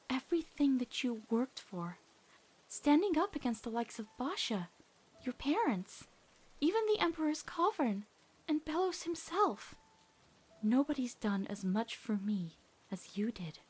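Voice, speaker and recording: A woman voicing an earnest, awkward teenage girl in an emotional cartoon comic dub, heartfelt and halting when nervous. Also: high voice, darkish tone, breathy edge, exaggerated voice.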